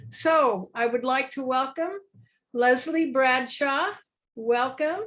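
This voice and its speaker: A woman hosting a parenting podcast, opening the show and interviewing a guest over a video call, deliberate and stretching key words. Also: high-pitched, fairly bright tone. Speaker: podcast host.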